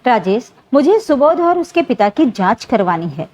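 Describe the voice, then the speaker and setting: A woman's voice: on the high side, big pitch swings, moderate pace, a little mellow. A woman narrator voicing an anxious, protective widowed village mother in a story, earnest and tender. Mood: worried. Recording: dull, lo-fi sound.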